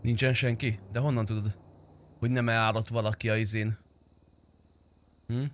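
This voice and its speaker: A man's voice: lowish voice, little pitch movement. A young man streaming a roleplay game, chatting casually with crude, teasing banter and plenty of swearing. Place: indoor room, streaming setup.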